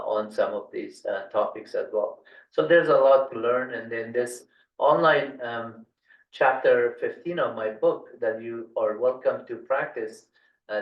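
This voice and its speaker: A man born in Afghanistan whose first language is Dari, giving a tech tutorial over a screen share, halting with many fillers and restarts. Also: mid-range voice. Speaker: tutorial instructor. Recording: roomy, distant, dull lo-fi mic.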